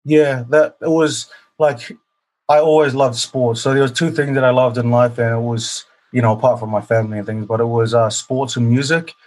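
A man's voice: medium pitch, steady tone, moderate pace, darkish tone. Humble man from New Zealand. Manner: hesitant, many fillers, humble. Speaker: guest, professional rugby player. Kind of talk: casual interview answers.

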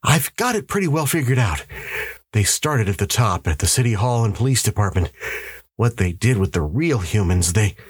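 A man's voice: fairly low pitch, sing-song, normal speed, slightly rough, dry recording, studio quality, pitched above the performer's own voice. A man voicing an urgent, commanding husband in a story, insistent and barking orders as if hunted. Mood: urgent, intense. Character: urgent, commanding husband convinced of alien invasion.

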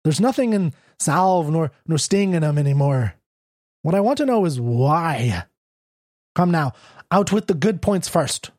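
Broke, defiant male writer, sardonic and blunt, mellow tone, dry close sound, as a reader plays him. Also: medium pitch, animated, a little slow, dry recording, voice pushed up. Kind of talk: audiobook character reading.

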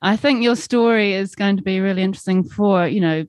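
A woman's voice: medium pitch, fairly quick, darkish tone, no echo, clean studio sound. A woman interviewer asking friendly questions and affirming her guest encouragingly. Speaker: interviewer, host.

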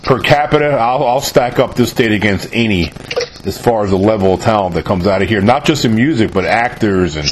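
A man's voice: on the low side, animated, fairly quick, slight background noise, poor audio. A man hosting a casual interview, enthusiastic and chatty, often adding "man". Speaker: interview host.

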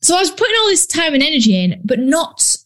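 A woman's voice: high-pitched, big pitch swings, quick pace. A woman with a Yorkshire accent, a business coach talking bluntly and chattily in a casual solo podcast, with plenty of ums. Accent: Yorkshire English. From Yorkshire, northern England. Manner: blunt, chatty, frequent ums and British slang.

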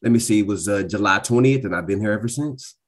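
A somewhat low-voiced man, a cross country coach, talking in a relaxed, joking and upbeat way. He comes across easygoing as he answers the interviewer. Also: fast talker. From Texas.

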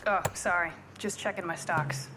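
An actress playing a cool, confident female private investigator in a noir radio play, deadpan and wisecracking. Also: lowish voice, moderate pace, background noise, close to an everyday voice. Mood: wry, self-assured. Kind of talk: noir radio play acting, narration and dialogue.

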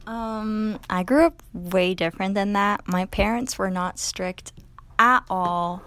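A woman from Connecticut on a casual podcast panel, talking in an easygoing, relaxed way with lots of "like". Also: mid-range voice, slow pace, clear, resonant tone. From Connecticut, USA. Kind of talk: casual group chat.

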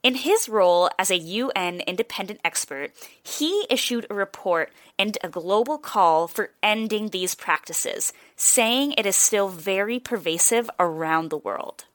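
A young woman hosts and narrates a radio-style news feature from a written script. Her delivery is clear and even, and her voice sounds close and dry with no room around it. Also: mid-range voice, measured pace, hardly any pauses, no echo. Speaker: reporter and host.

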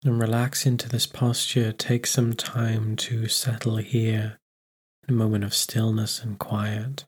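A man with a fairly low, husky voice narrates a guided meditation. His delivery stays very flat and even. He gives gentle instructions and leaves unhurried pauses between phrases.

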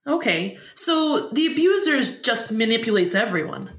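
A woman's voice: on the high side, big pitch swings, moderate pace, roomy sound. An actress playing an inquisitive woman talk show host in an audio drama, prompting and probing with questions. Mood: curious, probing. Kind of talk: audio drama dialogue as a broadcast interview.

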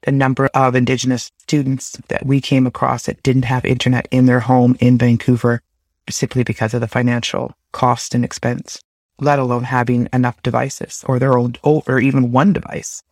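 A man's voice: medium pitch, completely flat delivery, normal speed, a little mellow, no room sound, studio quality. A man speaking earnestly and steadily in a documentary interview about his community.

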